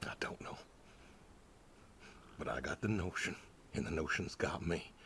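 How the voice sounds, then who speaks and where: An actor playing a weary, stoic older pig farmer in a video game, terse, plainspoken and solemn. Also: male voice, sits low, animated, unhurried, sharp tone, in a whisper, heavily acted. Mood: sad, resigned. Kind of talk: naturalistic video game acting.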